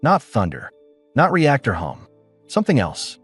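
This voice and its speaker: A man narrating a science fiction audiobook in an expressive, dramatic way. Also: medium pitch, measured pace, husky. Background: faint music.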